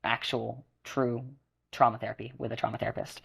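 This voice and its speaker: A woman's voice: rumbling low, level tone, brisk, vocal fry, slightly rough. A woman in her twenties, a podcast guest, giving candid personal testimony in an earnest, rambling way that often restarts and corrects itself. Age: 20s.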